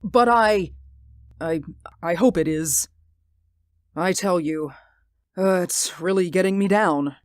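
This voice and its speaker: A woman's voice: fairly low pitch, slow pace, long pauses, no echo, clean studio sound. A woman voicing Mister Ames, a nervous, sleepless man who stammers and pleads, in a radio drama. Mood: anxious. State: sleep-deprived, anxious. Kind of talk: hobby radio drama acting.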